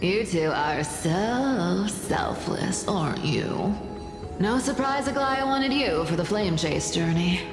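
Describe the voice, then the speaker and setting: Roguish female thief, very animated pitch, slow sly drawl, brash, fantasy game, echoing with music. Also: exaggerated voice. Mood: teasing, playful. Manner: sly, swooping, drawled teasing. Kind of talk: dubbed fantasy game dialogue. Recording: long reverb with loud music.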